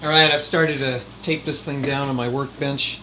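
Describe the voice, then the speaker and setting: Folksy man over loud background hum. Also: medium pitch, fairly even intonation, moderate pace. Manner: folksy, jokey, rambling asides. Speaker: host of DIY video series. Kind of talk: casual how-to vlog talk. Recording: loud air conditioner noise behind voice. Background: air conditioner hum.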